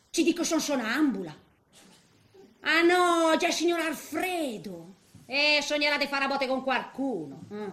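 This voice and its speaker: Frightened, grumbling old lady, animated and high. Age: 80s character. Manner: colloquial, exclaiming, chattily reliving her misadventures. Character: frightened, grumbling eighty-year-old Italian woman in a care home. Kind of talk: theatrical comic monologue.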